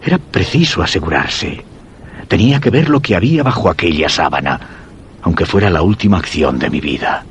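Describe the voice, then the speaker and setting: An actor playing a weakened, dread-stricken husband narrating a macabre tale in grave, measured Castilian Spanish for a radio horror drama. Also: male voice, sits low, lively intonation, a little hiss or hum. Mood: fearful dread. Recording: dull radio sound with music underneath.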